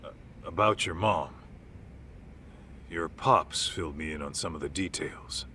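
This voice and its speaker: A gruff middle-aged detective for a video game drama. His voice sits low with a bright, tense edge and moves around animatedly. He talks in blunt, informal phrases, like someone with little patience left, over faint background ambience. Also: a little slow, a little hiss or hum, full character voice. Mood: weary, exasperated. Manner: informal, blunt, clipped tough-guy phrasing. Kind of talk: video game cutscene dub acting.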